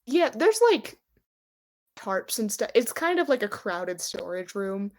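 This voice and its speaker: A woman's voice: mid-range voice, very animated, unhurried. A woman running a tabletop roleplaying game, narrating in a conversational, descriptive way as she improvises. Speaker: game master. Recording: music behind the voice. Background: background music.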